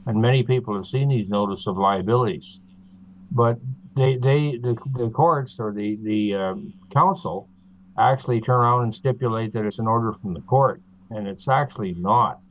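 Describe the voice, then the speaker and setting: A man from Canada, a guest in an interview, explaining steadily with frequent pauses and fillers over a video call. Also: medium pitch. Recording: tinny phone-like line.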